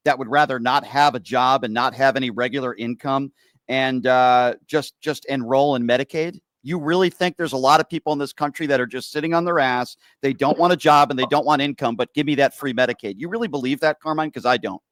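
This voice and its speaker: A man's voice: medium pitch, a little fast, fairly bright tone, dry recording, studio quality. A man from Connecticut hosting a heated political debate, pressing his guest with rhetorical questions. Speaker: host.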